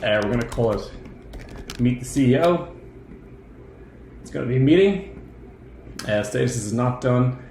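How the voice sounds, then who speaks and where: A man in his thirties presenting a software tutorial, explaining each step in turn as he shares his screen. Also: mid-range voice, expressive, moderate pace, long pauses. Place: indoor room. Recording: roomy echo, faint background noise.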